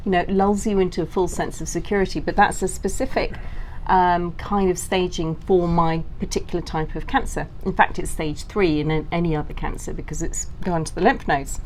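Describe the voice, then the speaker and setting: Low-pitched middle-aged woman, noisy background. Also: steady tone, a little fast, a little mellow. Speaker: interview guest, cancer survivor and author. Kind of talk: conversational interview, telling personal story.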